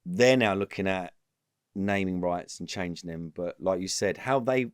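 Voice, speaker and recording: A low-voiced man talks business on a podcast, animated and rambling, often restarting his sentences. The sound is close and dry, with no room around it. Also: moderate pace, smooth, no echo. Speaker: podcast guest, company executive. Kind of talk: casual business interview.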